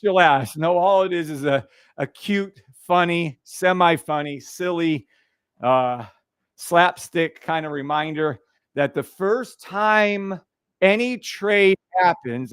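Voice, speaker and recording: A loud man hosts a trading livestream, blunt and profane, often laughing at his own jokes. His voice sits a little high, close and dry on a boom mic. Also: dry recording. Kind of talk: casual livestream chat.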